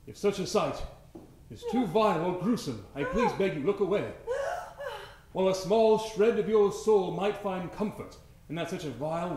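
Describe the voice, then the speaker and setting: A man's voice: on the high side, darkish tone, natural voice. A man playing a solemn, florid prison warden, speaking in a wordy, theatrical old-time radio style. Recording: echoey stage, faint noise.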